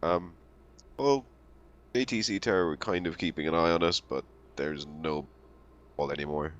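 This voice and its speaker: A man playing a tabletop roleplaying game, talking casually and a little haltingly. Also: very deep voice, fairly bright tone, lo-fi sound. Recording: voice chat with faint noise.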